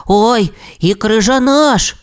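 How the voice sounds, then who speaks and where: A storyteller voicing a timid little male dinosaur who is easily frightened, in a high, squeaky, shaky voice. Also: slow pace, smooth, natural voice. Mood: shivering.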